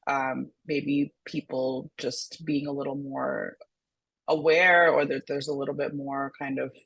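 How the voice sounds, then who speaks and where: A woman in her thirties from Singapore with an American-sounding international school accent, talking in a thoughtful, halting way full of fillers. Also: a bass voice, little pitch movement, creaky, husky. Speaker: mental health counselor co-hosting a discussion. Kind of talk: casual reflective conversation.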